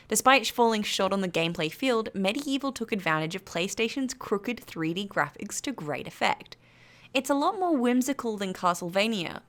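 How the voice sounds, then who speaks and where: A woman with a British accent reads a scripted video game review in an articulate, measured, critical manner. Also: medium pitch, fairly bright tone. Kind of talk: scripted narration. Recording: faint background noise.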